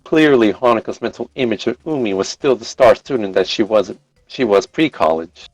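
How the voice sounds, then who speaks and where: A man narrates a story in a fairly low voice. He reads live and now and then stumbles and repeats a phrase. The recording is dry, with faint music behind him. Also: lively intonation, moderate pace, no echo, rough recording. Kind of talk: live read-aloud narration.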